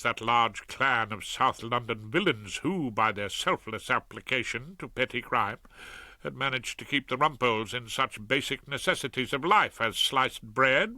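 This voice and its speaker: Man with a bright, wry narrating voice. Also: medium pitch, dry recording. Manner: wry, dry, droll. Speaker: audiobook narrator. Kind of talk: first-person comic narration and dialogue.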